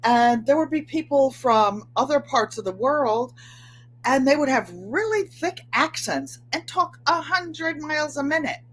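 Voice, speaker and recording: A woman in her seventies with a New England accent, slightly nasal and twangy, chatting excitedly to viewers as host of her livestream from a room at home. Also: high voice, measured pace, rough recording. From Connecticut, USA. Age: 70s. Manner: chatty, excitable, gestures with hands. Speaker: channel host. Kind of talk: casual livestream chat.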